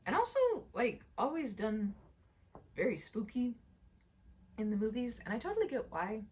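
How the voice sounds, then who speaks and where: Female storyteller, high husky voice, gentle and chatty, loose rambling expressive talk. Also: normal speed. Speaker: storyteller and channel host. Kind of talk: casual chatty commentary and story narration.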